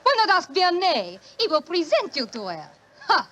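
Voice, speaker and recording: A woman's voice: very high voice, wildly swooping pitch, normal speed, a bit bright, exaggerated voice. A woman acting a jealous young French circus performer with a French accent, tart and theatrical, in a 1940s radio drama. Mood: jealous, scornful. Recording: faintly noisy 1940s radio recording.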